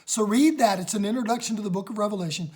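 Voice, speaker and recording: Raspy-voiced woman leading a casual home Bible study, emphatic and excited. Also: mid-range voice, very fast. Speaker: Bible teacher. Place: home, recorded on a phone.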